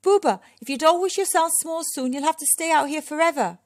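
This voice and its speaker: A woman voicing small excitable creatures in a children's storybook, calling out urgently and anxiously. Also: extremely high pitch, takes it slowly, pitched above the performer's own voice, exaggerated voice. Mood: urgent, worried. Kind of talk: children's storybook character reading.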